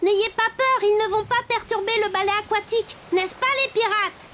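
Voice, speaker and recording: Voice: extremely high pitch, steady tone, a little fast, pushed and bright, slight background noise. An actor voicing a cheerful, helpful little wooden toy boy in a French-dubbed children's cartoon, eager and earnest.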